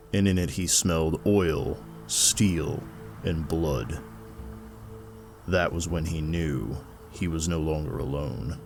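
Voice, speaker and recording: A man narrating a dark fantasy short story he wrote, in a measured, even manner. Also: low-pitched. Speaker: author reading his own fiction.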